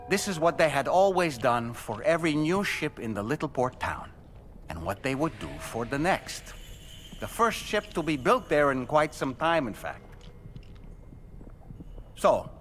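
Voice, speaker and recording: An actor playing a solemn storyteller who tells an eerie sea tale in a measured, deliberate way with suspenseful pauses. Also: male voice, mid-range voice. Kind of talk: dramatic scripted storytelling.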